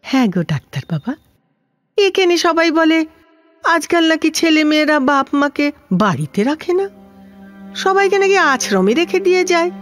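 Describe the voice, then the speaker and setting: A woman's voice: high voice, sing-song, normal speed, pauses often. An older woman playing a frail, lonely former housemaid in a Bengali audio drama, rambling in homely Kolkata Bengali with a plaintive manner. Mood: aggrieved, indignant. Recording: music behind the voice.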